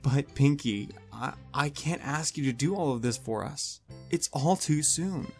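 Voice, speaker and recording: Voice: medium pitch, a little slow, gravelly, darkish tone, soft background music. A storyteller voicing an anxious, polite young stallion pony in a fan fiction reading, hesitant and earnest. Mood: flustered.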